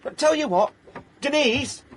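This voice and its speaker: An actor with a South Yorkshire accent playing a hapless ex-miner turned private investigator in a radio comedy-drama, deadpan and mock hard-boiled. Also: male voice, extremely high pitch, unhurried, slight background noise. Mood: earnest pleading. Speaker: narrator and lead actor. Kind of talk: radio comedy-drama with first-person narration.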